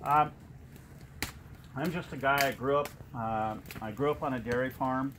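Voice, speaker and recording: Noisy live recording, man, late fifties, medium pitch, informal testimonial, halting delivery, plain-spoken. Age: late 50s. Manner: halting, plain-spoken, frequent pauses and throat clearing. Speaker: guest speaker giving a personal testimonial.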